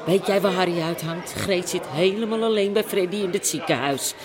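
A woman's voice: on the low side, a little fast, a crowd in the background, close to an everyday voice. A woman playing a no-nonsense, commanding café barmaid in a radio drama. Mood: busy, concerned. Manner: brusque, commanding. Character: bossy, no-nonsense female Amsterdam café barmaid.

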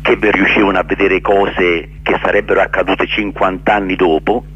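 A man answering radio interview questions earnestly and haltingly, repeating words for emphasis. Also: low-pitched, little pitch movement, a bit bright, husky, highs cut off. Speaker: interview guest, actor and director. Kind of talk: radio interview answers, explaining his play.

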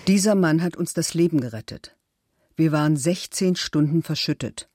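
Composed middle-aged female accused: measured, formal documentary reading, close and dry. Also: low-pitched, dark tone, no room sound. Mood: composed, firm. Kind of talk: documentary reading of statements and letters. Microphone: close studio microphone.

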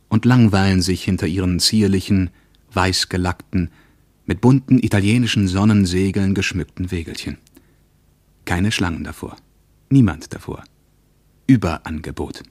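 A man's voice: sits low, darkish tone, dry recording. A man playing a reflective traveller, narrating his journey in literary radio play style. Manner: pensive, literary. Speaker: first-person narrator.

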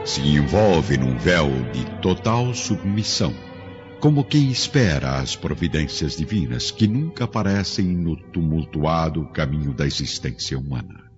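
A man's voice: a bass voice, animated, unhurried. A man narrating a radio drama from a script in a grave, formal, theatrical storytelling manner. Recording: dull band-limited audio with music behind.

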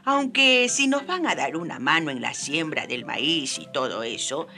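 A woman's voice: low voice, lively intonation, moderate pace, pushed and bright, faint music behind, exaggerated voice. An actress playing a poor, wry, sceptical but hospitable peasant woman in a radio drama, with a hesitant, folksy, teasing manner. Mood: amused, puzzled.

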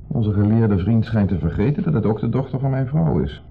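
An actor playing an insecure, jealous husband in a 1970s radio drama, mock-polite and sarcastic in a clipped way. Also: male voice, fairly low pitch, expressive, brisk, gravelly, slightly rough, heavily acted. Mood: defensive, sarcastic. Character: insecure, jealous husband, needling host masking hostility. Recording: muffled 1970s radio recording, music behind.

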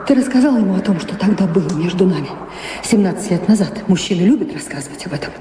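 A woman's voice: lowish voice, animated, fast talker, mellow, close to an everyday voice. An actress playing an anxious, pleading married noblewoman in a radio drama. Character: troubled married noblewoman questioning her former lover. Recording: echoey hall with clear noise.

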